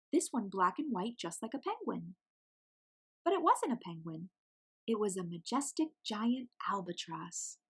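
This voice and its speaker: A woman reading a children's storybook aloud in an expressive narrating style. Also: a little high, husky.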